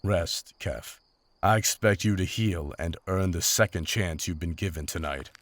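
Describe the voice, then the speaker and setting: Deep-voiced, stern monster hunter, acted by a man. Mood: grave. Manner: formal, measured, serious wording. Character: stern, seasoned male monster hunter, honorable, restrained. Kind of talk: fantasy audio drama acting.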